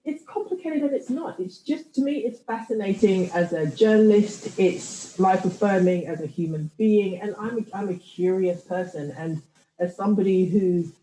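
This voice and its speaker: A thoughtful woman speaks as a guest in a conversational interview, often circling back and restarting as she works through her ideas. Her voice is warm and mellow. It is recorded in a room with noticeable echo. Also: medium pitch, normal speed, a little hiss or hum. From United Kingdom. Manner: reflective, thoughtful, many restarts. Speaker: guest, writer and podcaster.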